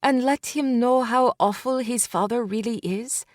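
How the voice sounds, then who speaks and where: An insistent, protective young woman from an audiobook drama, as the woman reader puts her on. She talks in a raised, pressing voice that keeps pushing hard truths. Close, dry recording with a measured pace. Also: fairly high pitch, dry recording, pitched above the performer's own voice, lightly acted. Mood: urgent. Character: blunt young woman friend, urgent and protective.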